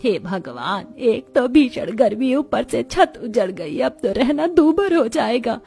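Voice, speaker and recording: A woman's voice: a little high, big pitch swings, slow, gravelly, warm tone, soft background music, exaggerated voice. A woman playing poor, dutiful and resourceful village housewives in a cartoon story. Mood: alarmed. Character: poor village women, dutiful resourceful daughters-in-law and scolding mother-in-law.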